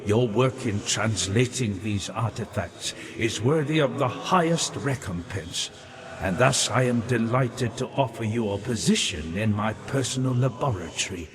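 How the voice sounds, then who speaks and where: A long, hollow reverb with some hiss surrounds this ghostly old-world librarian from a fantasy game. He muses in florid, scholarly phrases, slowly and deliberately, with fascination and melancholy. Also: fairly low pitch, lively intonation. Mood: intrigued, uneasy. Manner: erudite, deliberate, florid, musing. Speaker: non-player character in a fantasy game. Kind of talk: theatrical game dialogue, reading and translating old texts.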